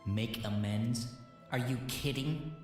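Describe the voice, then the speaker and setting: A man's voice: medium pitch, takes it slowly, a little mellow, close to an everyday voice. A man playing a defensive, bitter pony haunted by guilt in an audio drama, snappish and sarcastic, distant and echoing. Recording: very echoey and distant, faint music.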